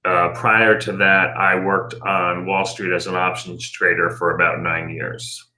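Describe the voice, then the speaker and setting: A man's voice: deep voice, flat delivery, unhurried, sharp tone, poor audio. A middle-aged American man giving conversational answers as an interview guest and business owner.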